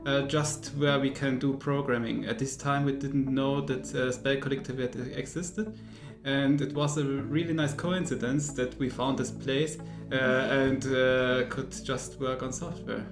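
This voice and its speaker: A man speaks haltingly, with many fillers, at a mid pitch. The room echoes, and music plays behind him. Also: fairly even intonation. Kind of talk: casual interview answers. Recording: roomy sound with music.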